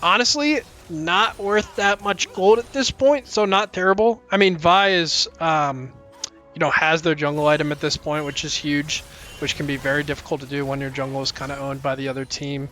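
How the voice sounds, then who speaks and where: A young man casting a live college esports match, calling plays quickly, with many fillers and sudden jumps in pitch at big plays. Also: steady tone, pushed and bright, faint music behind. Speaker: esports caster. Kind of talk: live play-by-play commentary. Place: college esports broadcast booth.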